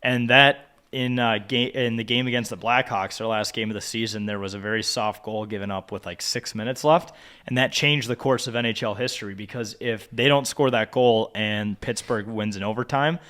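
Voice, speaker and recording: A man's voice: on the low side, fairly even intonation, normal speed, pushed and bright. A man co-hosting a sports podcast, bantering casually with plenty of swearing and joking. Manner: profane, joking.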